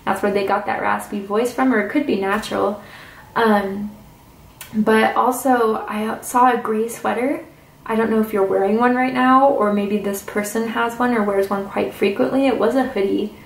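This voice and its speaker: A woman giving a casual tarot reading to camera at a table, chatty and intuitive, with drawn-out "ooh"s and "mm"s. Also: medium pitch, fairly even intonation, vocal fry. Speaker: tarot reader. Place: indoors at a tarot table with crystals and candles. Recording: roomy, distant camera mic with faint hiss.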